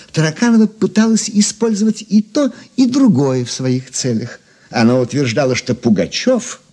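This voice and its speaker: A man's voice: mid-range voice, darkish tone, slightly rough. An actor playing a learned gem connoisseur who savours his words as he tells legends in a radio drama. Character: erudite, expressive male gem connoisseur telling legends.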